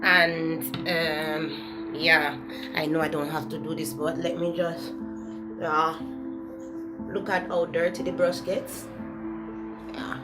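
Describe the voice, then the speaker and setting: A woman's voice: deep voice, flat delivery, slow, long pauses. Candid Jamaican woman vlogging from her kitchen. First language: Jamaican Patois. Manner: candid, searching for words, self-correcting. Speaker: beauty vlogger. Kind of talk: casual talk-to-camera beauty vlog. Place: home kitchen, indoors. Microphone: camera close to the face. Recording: echoey kitchen with background music.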